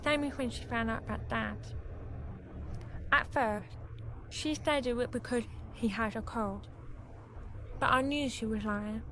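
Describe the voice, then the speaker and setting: A woman's voice: a little high, measured pace, long pauses, a bit bright, slight background noise. A woman with a British accent playing a frustrated, defiant deaf teenage girl in a radio drama, sometimes confiding as narrator. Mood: confiding, wounded.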